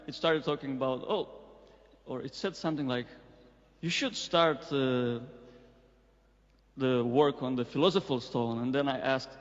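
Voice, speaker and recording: A man in the audience hesitantly recounting a personal experience, with many fillers and sidetracks. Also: medium pitch. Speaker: audience member asking a question. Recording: muffled recording in a reverberant hall.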